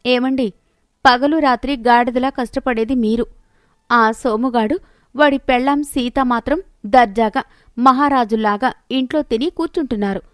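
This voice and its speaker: A woman's voice: on the high side, normal speed, dry recording, studio quality, natural voice. A woman voicing a greedy, haughty wife who nags and bosses scornfully in a moral story. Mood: scheming, nagging. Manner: scornful, bossy, nagging. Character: haughty, scheming, greedy wife of an elder brother.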